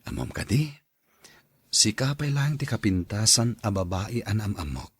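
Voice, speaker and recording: An actor playing a friendly, loyal, adoring young husband in an audio drama, with an Ilocano Filipino accent. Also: male voice, lowish voice, lively intonation, moderate pace, breathy edge, dry recording.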